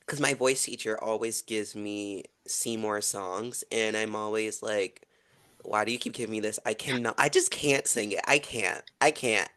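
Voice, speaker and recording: A young man hosting a casual podcast. He asks questions in an easygoing, relaxed way. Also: fairly low pitch, normal speed. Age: young adult. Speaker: podcast host. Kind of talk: casual interview.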